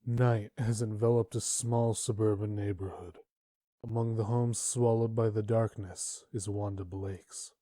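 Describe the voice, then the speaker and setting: A man narrating a dark comic dub as a sombre storyteller, in a subdued, measured manner. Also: lowish voice, steady tone, dry recording, clean studio sound.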